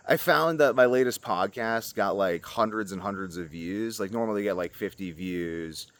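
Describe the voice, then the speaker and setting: Deep voiced guy vlogging, super casual and rambly, close dry sound no room echo. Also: measured pace, fairly bright tone. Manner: informal, loosely rambling, frequent "like". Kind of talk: casual rambling fitness and lifestyle vlog talk.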